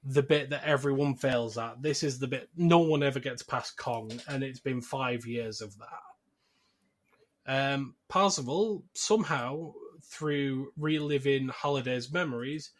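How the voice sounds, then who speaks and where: A man's voice: mid-range voice, unhurried. A man hosting a podcast, explaining a film's plot in a casual, conversational way. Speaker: podcast host.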